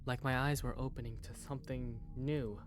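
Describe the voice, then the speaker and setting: A man's voice: mid-range voice, steady tone, moderate pace, a little hiss or hum, lightly acted. A man playing a sleepy, easily embarrassed teenage schoolboy who narrates a visual novel in the first person. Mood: reflective.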